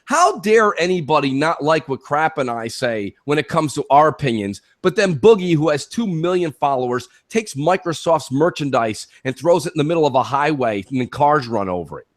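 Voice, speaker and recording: A man with a fairly high voice and very lively intonation co-hosts a call-in gaming show. He argues his opinions emphatically, using rhetorical questions and punchy repetition to drive each point home. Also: moderate pace, few pauses. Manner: emphatic, rhetorical, punctuates points with "okay" and "listen". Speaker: co-host of a gaming talk show.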